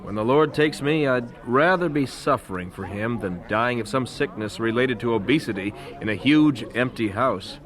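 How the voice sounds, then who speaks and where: A man playing a devout, resolute young missionary husband in a radio drama, earnest and gentle. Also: medium pitch, expressive, normal speed, hardly any pauses, natural voice. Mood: grave, sincere. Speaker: voice actor in an audio drama. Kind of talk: radio drama dialogue acting.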